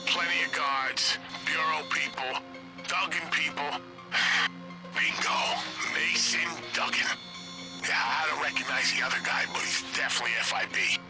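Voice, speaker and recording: A man voicing a gruff government agent handler who briefs and directs a field operative with dry sarcasm, in video game dialogue with loud music behind. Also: on the high side, a little slow, long pauses, close to an everyday voice, poor audio. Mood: tactical. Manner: dry, sarcastic briefing with bursts of urgent orders. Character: gruff male federal agent handler, sardonic and commanding. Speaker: government agency handler giving mission orders.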